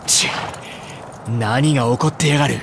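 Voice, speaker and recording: An actor playing a stern, cold young soldier captain in an anime game, speaking curtly and gruffly. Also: male voice, mid-range voice, moderate pace, heavy background noise, close to an everyday voice. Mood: irritated. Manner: curt, gruff, terse. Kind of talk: Japanese anime game voice acting.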